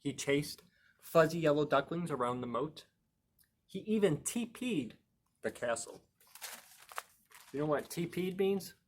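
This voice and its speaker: A man reading a children's picture book aloud as a storytime host, playful and expressive, with emphatic words and chatty asides to young listeners. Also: a little high, unhurried, pauses often. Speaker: library storytime reader and host.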